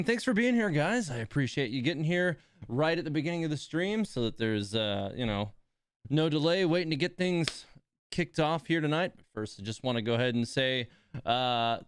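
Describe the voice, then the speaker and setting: A man's voice: a little high, lively intonation, moderate pace, clean tone, no room sound. A man hosts a livestream in an informal, conversational style. His voice is clean and resonant, in a dry recording with no room sound. He rambles along casually as he addresses his viewers. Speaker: game livestream host.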